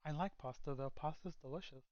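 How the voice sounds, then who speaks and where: A man's voice: a little high, quick pace, dull audio, crowd noise. A man hosting a casual podcast over a call, joking around and restarting his sentences. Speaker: podcast host. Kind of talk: casual chat. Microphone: own mic on voice call.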